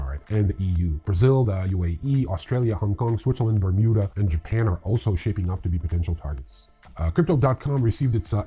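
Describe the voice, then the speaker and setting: A man's voice: low voice, dark tone. A man hosting a news show, delivering casual scripted commentary with wry, sarcastic asides. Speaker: crypto news show host.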